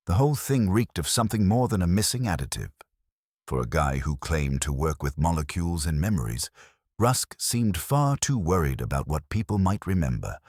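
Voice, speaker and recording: Wry hardboiled male narrator, very deep. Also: husky, no room sound, clean studio sound. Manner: wry, wisecracking. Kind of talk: first-person hardboiled mystery narration.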